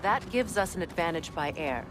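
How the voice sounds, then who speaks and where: A woman voicing a resolute, commanding warrior queen in a video game, speaking in a firm, measured way. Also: on the low side, expressive, music in the background, natural voice. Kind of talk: cinematic video game acting. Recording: loud ambient noise behind.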